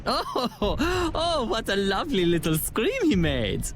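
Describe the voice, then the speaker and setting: A man's voice: very high voice, sing-song, slow pace, exaggerated voice. An actor playing a sly, theatrical arms dealer villain who mocks his enemies in a silky voice. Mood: mocking.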